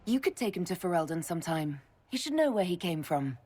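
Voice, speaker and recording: Husky, wry heroine. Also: female voice, on the low side, very animated, brisk, mellow. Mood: teasing. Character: wry, warm-hearted woman hero and leader. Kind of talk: video game voice acting.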